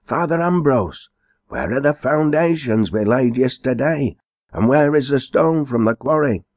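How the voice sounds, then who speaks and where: Man acting an indignant village mason, pitched high. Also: expressive, a little slow, lightly acted. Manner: crying out loudly.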